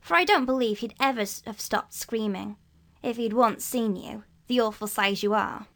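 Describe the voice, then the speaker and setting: A sensible older schoolboy makes brisk, matter-of-fact remarks, as a woman reading the story puts him on. His voice sits a little higher than her own. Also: lightly acted.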